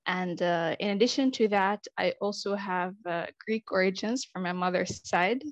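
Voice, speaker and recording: A woman's voice: mid-range voice, moderate pace, dry recording. Young woman explaining, dry close sound. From Israel. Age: young adult. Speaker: interview guest. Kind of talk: conversational interview.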